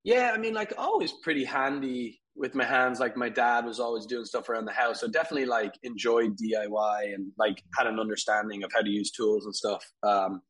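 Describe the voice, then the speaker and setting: A man in his thirties, from Ireland, talking casually as an interview guest, rambling with fillers and soft laughs. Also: medium pitch, fairly quick, hardly any pauses, low-quality recording. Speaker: guest, furniture company CEO.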